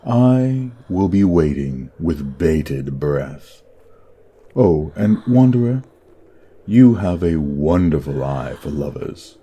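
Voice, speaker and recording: A man's voice: a bass voice, expressive, takes it slowly, mellow, slightly rough, heavily acted. A man playing a lustful, teasing demon prince of hell in an audio roleplay, with a drawling, flirtatious delivery. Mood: seductive, teasing.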